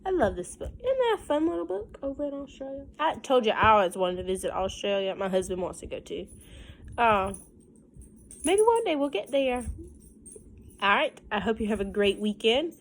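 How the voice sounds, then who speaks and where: A woman's voice: fairly high pitch, very animated, moderate pace, slight background noise. A woman with a Southern US accent, a teacher reading a picture book aloud to children in a sing-song way with folksy, casual asides.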